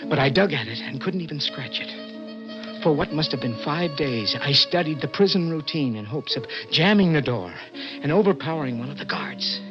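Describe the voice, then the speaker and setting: A man's voice: on the high side, expressive, lightly acted. A man playing a desperate young imprisoned poet in earnest, dramatic 1960s radio suspense style. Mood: bleak, haunted. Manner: intense, earnest, dramatic, halting when startled. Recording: dull old radio recording, prominent music.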